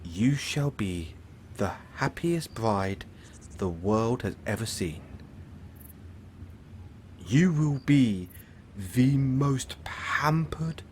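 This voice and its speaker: A man's voice: mid-range voice, slight background noise. A man playing a flamboyant, smug, vain villain in an audio roleplay, speaking in a theatrical, pompous and grandiloquent way to the listener.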